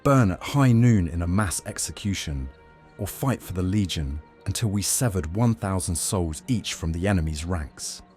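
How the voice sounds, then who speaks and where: A man playing a young Roman vampire master in an audio drama, measured, teasing and faintly threatening. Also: low-pitched, animated, a little mellow, soft background music. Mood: grave, reflective. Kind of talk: audio drama roleplay acting.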